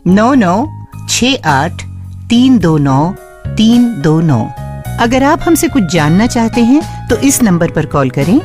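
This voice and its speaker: A woman hosts a scripted radio programme with an animated, varied delivery. Her pitch sits in the middle for a woman. She explains step by step and speaks to listeners as friends, with faint music present. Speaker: radio show host.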